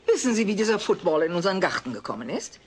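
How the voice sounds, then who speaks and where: Fussy, very animated neighbour. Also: female voice, lowish voice, fast, slight background noise. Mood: prim, indignant. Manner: prim, melodramatic, indignant. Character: fussy, self-righteous nosy neighbour woman. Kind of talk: dubbed sitcom acting.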